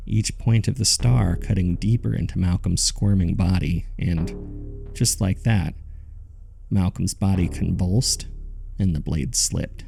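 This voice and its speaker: Horror audio drama narrator: haunted man, grave and measured, mellow dark timbre, heavy fry. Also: low-pitched, soft background music, full character voice. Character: haunted male narrator recounting his own horror ordeal. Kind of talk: scripted first-person horror narration.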